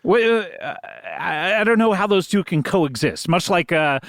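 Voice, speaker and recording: A middle-aged man hosting an improvised comedy podcast, teasing his guest and halting over a lot of uh's. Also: high voice, lively intonation, fairly bright tone, no echo, clean studio sound. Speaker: host.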